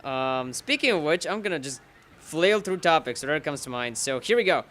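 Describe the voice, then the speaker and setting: Young man, brightish higher voice, rambling profane let's-play chatter. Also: sing-song, brisk. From Bulgaria. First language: Bulgarian. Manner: rambling and profane, curses at the game. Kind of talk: rambling casual commentary while gaming.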